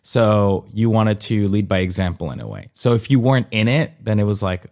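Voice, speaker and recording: A man's voice: on the low side, expressive, brisk, darkish tone. A young man from Colombia chatting casually as an interview guest, informal and hesitant, often rephrasing himself and checking for agreement. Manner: informal, hesitant and self-correcting, often seeking agreement with "you know". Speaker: interview guest, comedian and former dancer. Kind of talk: casual conversational interview, personal storytelling.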